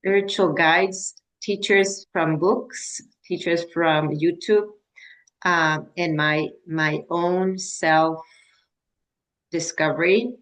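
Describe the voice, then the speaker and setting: A woman in her fifties from Mexico, a menopause wellness coach, talking on a video call in a halting way, with many restarts and fillers. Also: lowish voice, steady tone, fairly bright tone, low-quality recording. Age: 50s. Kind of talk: casual video-call conversation.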